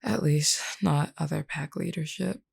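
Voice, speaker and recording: A woman's voice: low-pitched, measured pace, gravelly, darkish tone, slightly rough, heavily acted. A woman voicing a flirty, wounded female werewolf, teasing and weary, sighing through pain in an intimate audio roleplay. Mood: weary, candid. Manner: teasing, sighing, calls listener hun.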